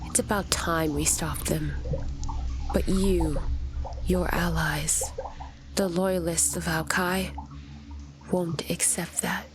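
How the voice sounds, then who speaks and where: Slow, embittered Titan zealot. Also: female voice, on the low side, fairly even intonation. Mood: bitter, disdainful. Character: embittered female Titan zealot, cold and resolute. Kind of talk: fantasy audio drama acting. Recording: clear noisy ambience behind the voice.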